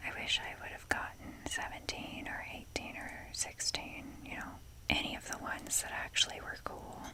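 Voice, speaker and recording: A woman doing casual whispered ASMR, rambling along in a self-deprecating, casually sweary way. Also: normal speed. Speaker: ASMR video creator.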